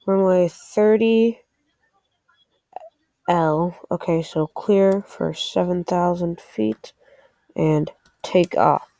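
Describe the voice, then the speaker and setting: Mellow, low-toned woman talking through a game. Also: drawn-out words, long pauses, no echo. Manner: hesitant, thinking aloud while playing. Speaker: presenter of a game tutorial. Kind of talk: casual screen-recorded game walkthrough commentary.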